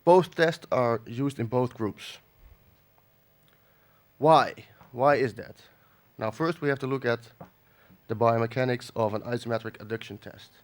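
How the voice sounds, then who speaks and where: A man from the Netherlands with a cold, giving a halting academic conference lecture with many fillers and restarts. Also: mid-range voice. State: has a cold. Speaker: conference speaker. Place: conference hall.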